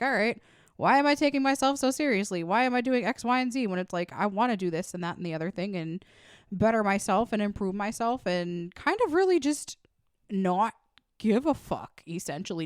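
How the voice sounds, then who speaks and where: Woman, 30s. Animated, easygoing podcast co-host with a smooth voice. Dry, close recording. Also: mid-range voice, dry recording. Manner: animated, supportive, mock-whiny. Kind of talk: casual comedy chat.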